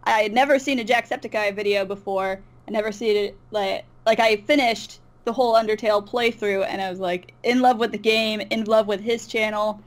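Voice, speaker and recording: A woman with a medium-pitched voice chats as an interview guest. She shares anecdotes informally, hesitating and rewording as she goes. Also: steady tone, brisk, hardly any pauses. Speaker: interview guest, YouTube voice artist. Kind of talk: casual chat, personal anecdote.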